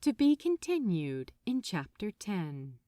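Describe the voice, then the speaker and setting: A woman narrating fiction like an audiobook, with an expressive, deliberate and suspenseful delivery. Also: medium pitch, noisy background. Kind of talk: dramatic scripted audiobook narration.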